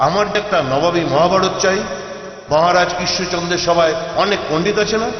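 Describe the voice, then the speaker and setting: A capricious cartoon Nawab, acted by a man, high in pitch and peremptory in every order. He sounds imperious and demanding. The audio is dull and lo-fi, with light music behind. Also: fairly even intonation, brisk, lightly acted. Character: imperious, whimsical male Nawab ruler. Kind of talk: Bengali cartoon voice acting.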